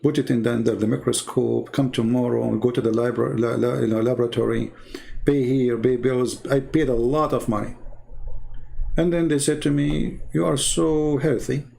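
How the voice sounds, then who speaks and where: Gravelly man, rambling and scornful. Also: medium pitch, fairly even intonation, moderate pace, mellow. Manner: rambling, scornful, repeats himself. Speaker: online commentator. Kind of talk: casual monologue.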